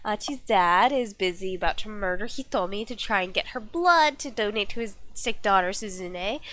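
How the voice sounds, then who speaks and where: A woman's voice: mid-range voice, lively intonation, normal speed, fairly bright tone. A woman with a stuffy nose and slight cold, chatting casually and rambling with lots of fillers as she streams a visual novel. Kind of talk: casual live-stream chat.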